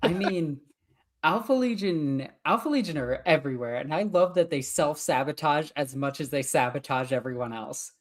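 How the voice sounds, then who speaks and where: A woman with an Icelandic accent and a notably low-pitched voice co-hosts a casual podcast. She talks as an enthusiastic fan, gushing about what she loves and laughing easily. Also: expressive, normal speed. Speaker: podcast co-host. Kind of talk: casual chat.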